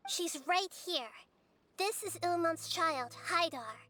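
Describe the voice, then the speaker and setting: A woman voicing a small, wise child goddess in a video game, speaking in a measured, articulate, earnest and solemn way. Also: very high voice, lively intonation, sharp tone, heavily acted. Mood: firm, explaining. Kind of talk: English video game voice acting. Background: music.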